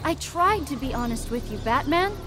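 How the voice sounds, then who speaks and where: A woman's voice: sits high, animated, normal speed, music in the background. A woman playing an anxious, possessed young woman in a video game, earnest and pleading. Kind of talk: video game character acting.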